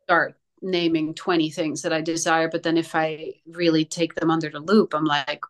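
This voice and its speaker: A woman's voice: low voice, little pitch movement, moderate pace. A woman from the Netherlands, a native Dutch speaker, talking reflectively and hesitantly in casual conversation, searching for words.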